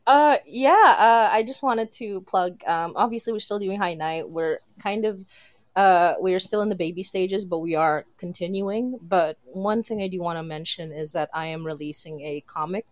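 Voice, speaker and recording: A woman's voice: fairly low pitch, normal speed, dry recording. A woman chatting casually on a panel as a creator, giggly and enthusiastic. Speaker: podcast and comic creator, panelist.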